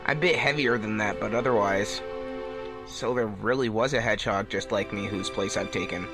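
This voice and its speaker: A man's voice: fairly low pitch, normal speed, voice pushed down, close to an everyday voice. A man voicing a defensive young male hedgehog hero in a fan fiction audio drama, hesitant and guarded. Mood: uneasy. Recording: music behind the voice.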